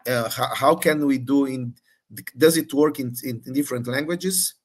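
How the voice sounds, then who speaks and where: Man moderating a Q&A, halting and rephrasing. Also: medium pitch. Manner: halting, searching for words, rephrases questions. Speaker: moderator relaying audience questions. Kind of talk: live Q&A, spontaneous questioning.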